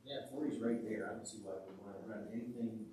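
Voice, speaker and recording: A fairly low-voiced man speaks his mind at a township zoning meeting, blunt and opinionated, tossing in quick agreements. He is picked up from across an echoey meeting room, with a faint hum underneath. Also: mellow, recorded from a distance. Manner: blunt, opinionated, agrees often with short "yeah". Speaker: zoning commission member. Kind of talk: public meeting discussion.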